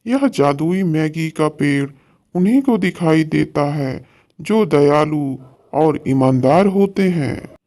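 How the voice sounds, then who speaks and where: A man's voice: fairly high pitch, expressive, slow, mellow. A man voicing a stern, wise holy hermit in a cartoon story, solemn and admonishing.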